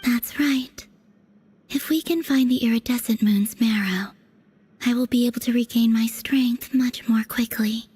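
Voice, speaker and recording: An actress voicing a mysterious, ethereal young woman in a video game, speaking softly and calmly in a breathy whisper. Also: on the high side. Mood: hushed. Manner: soft, calm, unhurried.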